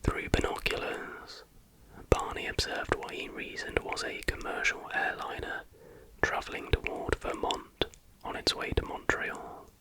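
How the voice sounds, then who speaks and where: A man speaks in a steady, breathy whisper. He reads aloud in ASMR style, soft and measured, never bringing full voice into the words. The delivery is unhurried and factual. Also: low-quality recording. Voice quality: unvoiced whisper throughout. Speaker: ASMR host reading aloud.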